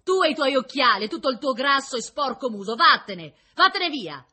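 A woman's voice: high-pitched, big pitch swings, a little fast, sharp tone, husky, pitched above the performer's own voice, heavily acted. A woman voicing a bitter, drunk woman prisoner in a storybook reading, scornful and confrontational. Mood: furious.

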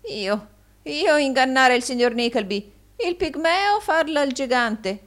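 A woman narrator puts on a fawning old male moneylender from a storybook. The voice is pitched high and swings widely. He gushes and whines, repeating his flattery slyly. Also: a little slow. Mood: whining, servile. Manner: gushing, repetitive, exclaiming with groans and chuckles.

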